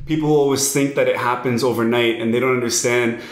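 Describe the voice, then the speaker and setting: A man's voice: medium pitch, fairly even intonation. Young male host from Lebanon, casual and enthusiastic, echoey room sound. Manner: enthusiastic, uses "bro", quick bursts. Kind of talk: casual interview.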